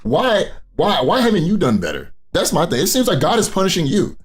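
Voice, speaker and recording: A man's voice: very animated, fairly quick. A man doing casual, profane reaction commentary, blunt and mocking, often repeating himself for emphasis. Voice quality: deep voice. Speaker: commentary YouTuber reacting to clips.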